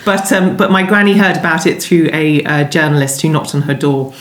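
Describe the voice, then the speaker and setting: A woman with a deep voice talks as an interviewed novelist. She wanders through her answers, pausing and rewording. The room adds a clear echo. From London, England. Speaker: guest novelist being interviewed. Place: indoors at home. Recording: echoey room.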